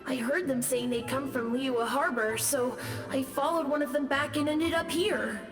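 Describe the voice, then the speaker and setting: A woman's voice: sits high, normal speed, natural voice. A woman voicing a timid, lost young boy ghost who talks hesitantly and apologetically, in a video game. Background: game background music.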